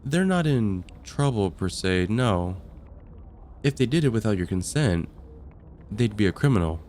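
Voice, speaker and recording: Man acting as vampire caseworker, lowish pitch, calm, patient, gently wry, conversational ASMR roleplay, background ambience. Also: measured pace, slight background noise. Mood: mildly resigned. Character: friendly, patient vampire caseworker onboarding a newcomer, dryly amused.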